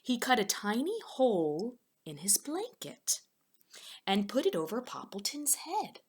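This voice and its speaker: A woman's voice: fairly high pitch, sing-song, measured pace, husky. A woman teacher reading a children's storybook aloud, expressively, with asides spoken directly to her young listeners.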